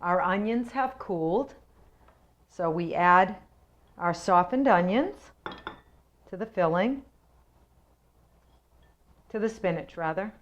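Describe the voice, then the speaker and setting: A woman's voice: lowish voice, expressive, slow, long pauses, muffled recording. Chatty woman hosting a home cooking show. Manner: chatty, homey, explains each step. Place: home kitchen.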